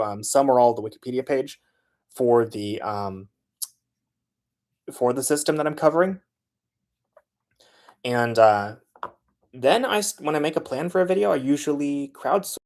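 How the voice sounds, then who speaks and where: A man talks through his filmmaking process in a relaxed, conversational way. He often pauses on filler sounds while he works out his next point. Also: medium pitch. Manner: loose and chatty, frequent filler sounds. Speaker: transit video creator. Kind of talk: casual how-to talk.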